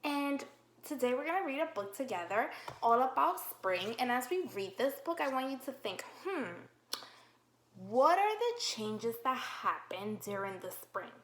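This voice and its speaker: A woman teacher reading a picture book aloud to young children, slow and encouraging, with drawn-out questions to the class. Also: high voice, very animated, a bit bright. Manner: slow, encouraging, exaggerated sing-song questioning. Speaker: kindergarten teacher. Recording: roomy echo.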